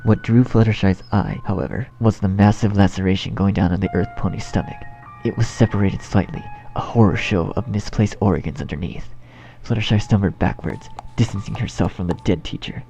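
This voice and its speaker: A man's voice: on the low side, brisk, vocal fry, dark tone, faint music behind. A man narrating a scripted fan fiction story as its storyteller. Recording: dull home recording with faint noise.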